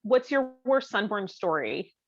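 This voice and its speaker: Female conversationalist, candid and jokey, medium pitch, dry and slightly dull recording. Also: sing-song, unhurried, no room sound. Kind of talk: casual chat.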